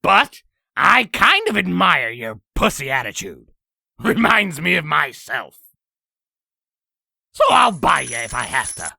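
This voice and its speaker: A man playing a sinister, boastful old demon in a comic dub, telling tales in a raspy, gravelly, theatrical voice. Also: fairly high pitch, sing-song, slow, long pauses, pushed and bright, no room sound, studio quality, exaggerated voice. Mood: irritated, menacing. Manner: theatrical, grandiose storytelling. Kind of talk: comic dub villain acting.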